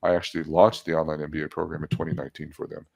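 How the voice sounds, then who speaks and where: A man's voice: extremely low pitch, level tone, very fast, vocal fry. A man in his seventies, a guest casually telling stories about his life and laughing at his own jokes. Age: 70s. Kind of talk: casual storytelling interview.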